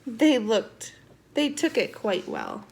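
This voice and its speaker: A woman reading a storybook aloud to young children in an animated, expressive teacher's manner. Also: on the high side, slow pace, gravelly, husky. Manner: animated, asks the listeners questions.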